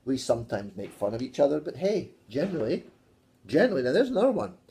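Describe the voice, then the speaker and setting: A man's voice: mid-range voice, sing-song, quick pace, creaky, warm tone. A Scottish man teaching a casual video English lesson, joking and teasing his colleague as he talks. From Scotland. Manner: playful, teasing, jokey asides.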